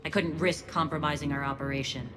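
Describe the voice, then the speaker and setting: A woman's voice: low-pitched, steady tone, fast, natural voice. A woman voicing a principled, guarded alien gang leader in a video game, speaking with firm command. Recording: loud music under the voice.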